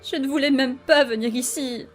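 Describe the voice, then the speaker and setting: A woman's voice: sits high, moderate pace, soft background music, lightly acted. A woman voicing a sullen, wounded teenage demon girl with a sulky manner, in a cartoon fan dub. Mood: resentful. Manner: sulky, hurt. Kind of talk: animated series fan dub.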